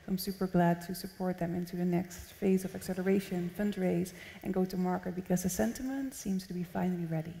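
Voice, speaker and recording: A woman's voice: lowish voice, fairly even intonation, a little fast, creaky, few pauses, warm tone. A young woman from the Netherlands speaking as a panelist at a conference, on stage in a large hall. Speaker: panelist, venture consultant. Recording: long reverb of a large hall.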